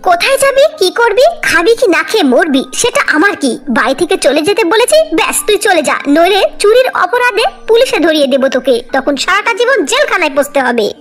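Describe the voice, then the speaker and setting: A woman's voice: very high-pitched, sing-song, normal speed, hardly any pauses, soft background music. A woman voicing a spiteful, selfish young sibling in a cartoon fairy tale, scolding and threatening with contempt. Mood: scornful, threatening.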